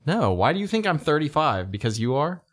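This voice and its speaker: Laughing, animated man in his thirties. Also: a little high, a little fast, dry recording, studio quality. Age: 30s. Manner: jokey, laughs often, teasing. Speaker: podcast guest, band member. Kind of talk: casual podcast banter. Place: podcast studio.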